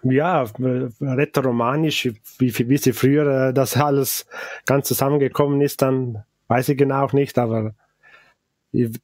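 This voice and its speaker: Male brewer guest, Ladin native, accented German, halting and hesitant, dry close recording. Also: medium pitch, fairly even intonation, a little mellow, no echo. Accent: Ladin-Italian accent in German. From South Tyrol, Italy. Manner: halting, searching for words, many hesitations. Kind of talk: casual interview.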